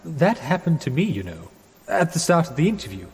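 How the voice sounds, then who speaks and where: A man's voice: on the high side, sing-song, brisk, breathy edge, heavily acted. An actor playing a genial, dim young upper-class Englishman in 1920s radio theatre, hesitant, bumbling and mild-mannered. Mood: mild, bemused. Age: young adult. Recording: long echoing reverb, music underneath.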